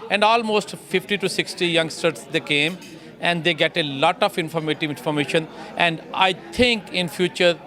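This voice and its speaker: A man giving a halting statement to the camera outdoors, with non-native phrasing. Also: fairly high pitch, pushed and bright.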